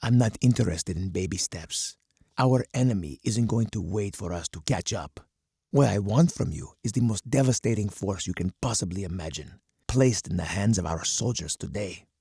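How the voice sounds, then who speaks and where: Hawkish game colonel: low, gravel-throated and husky, stern, measured, uncompromising strategist. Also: male voice, warm tone, no echo, clean studio sound, full character voice. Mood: resolute. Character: uncompromising hawkish male army colonel, grim military strategist. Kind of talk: scripted game voice lines.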